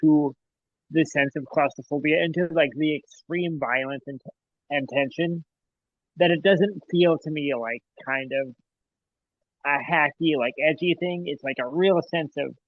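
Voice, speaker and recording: A man's voice: a little high, measured pace, muffled recording. A man in his thirties co-hosting a movie podcast, rambling and hedging his thoughts in casual chat. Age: 30s. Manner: rambling, hedging, laughs often. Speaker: podcast co-host.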